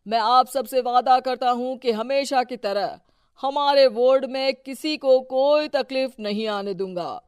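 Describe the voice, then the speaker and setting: A woman's voice: high voice, unhurried, a little mellow, clean tone, dry recording, clean studio sound, voice pushed up. A woman voicing a corrupt, bossy male local politician in a cartoon story, with a pompous, commanding manner. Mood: pompous oratory. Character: domineering, corrupt male village-politician husband, smug and bossy. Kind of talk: scripted cartoon story dialogue.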